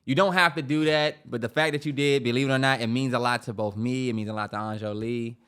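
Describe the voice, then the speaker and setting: A man's voice: mid-range voice, steady tone, fast talker, a bit bright, clear, resonant tone. A young man co-hosting a podcast, talking in a laid-back, slangy way and swearing freely. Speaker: podcast co-host. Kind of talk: casual chat.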